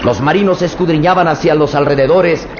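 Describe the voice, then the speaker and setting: A male narrator tells a radio adventure with tense, suspense-building delivery. His voice sits fairly high. The recording is roomy and dull, with loud hiss throughout. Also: brisk. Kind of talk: dramatic radio serial narration. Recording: echoey, muffled old radio with loud hiss.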